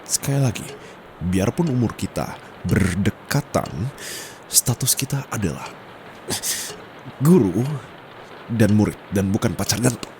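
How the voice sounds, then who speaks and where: Guy acting as strict young indonesian-accented tutor, deep voice, asmr roleplay, firm n scolding, bit of background noise. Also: lively intonation, a little slow, vocal fry, pauses often, a little mellow. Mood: exasperated scolding. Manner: firm, scolding, formal, slips into English phrases. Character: stern young male private tutor, secretly dominant. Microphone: close condenser microphone.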